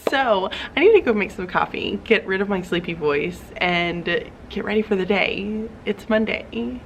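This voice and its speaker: A young woman talks through her school day as a teacher, chatty and upbeat, full of little fillers. Her voice sits at an ordinary middle pitch and is caught by a camera mic in a classroom. A faint hiss of background noise runs under it. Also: lively intonation, unhurried, gravelly. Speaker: teacher vlogger. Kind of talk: casual classroom vlog.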